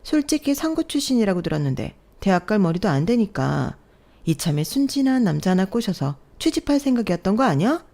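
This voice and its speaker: A woman's voice: medium pitch, sing-song, brisk, warm tone. A woman voicing haughty older sisters-in-law who mock and belittle the young bride. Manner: mocking, condescending, needling.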